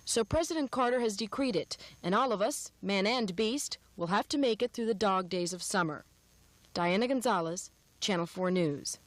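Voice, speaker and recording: American female news reporter, mid-pitched, scripted narration for local television. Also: lively intonation, normal speed, a little hiss or hum.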